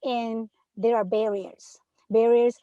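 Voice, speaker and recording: A woman's voice: a little high, fairly even intonation, takes it slowly, no echo, narrow, tinny audio. A woman from Peru, a third grade teacher, speaking earnestly on a panel discussion and often asking "right?". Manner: earnest, emphatic, many rhetorical "right?".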